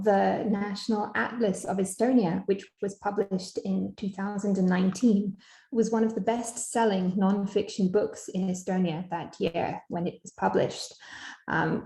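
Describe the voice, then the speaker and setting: A young Scottish woman giving an online academic lecture over a video call, measured, with frequent ums. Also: mid-range voice, creaky, warm tone, echoey room, rough recording. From Scotland.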